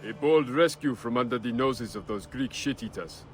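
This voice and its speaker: A man's voice: medium pitch, moderate pace, faint music behind, close to an everyday voice. A man playing a crude, boastful village blacksmith in an ancient Egyptian video game scene. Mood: blustering, vengeful. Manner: coarse, salty, blustering. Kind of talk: video game cutscene acting.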